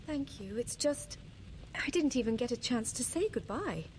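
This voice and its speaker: A woman's voice: fairly high pitch, lively intonation, normal speed, darkish tone, slight background noise, lightly acted. A woman playing a guarded, emotionally wounded adventuring daughter in a fantasy game, formal and earnest in manner. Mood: sad, composed.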